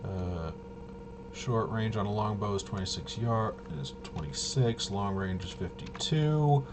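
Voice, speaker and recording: A man casually talking himself through a tabletop game walkthrough, halting and unscripted, muttering as he thinks. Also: on the low side, lively intonation, background noise. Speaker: host of a tabletop roleplaying video.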